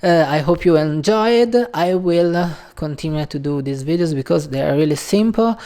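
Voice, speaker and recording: A woman with an Italian accent, a native Italian speaker hosting her own channel and talking casually in English about a book she read. She speaks hesitantly, with many pauses and fillers. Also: sits low, mellow. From Italy. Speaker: channel host. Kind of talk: casual video talk on a book.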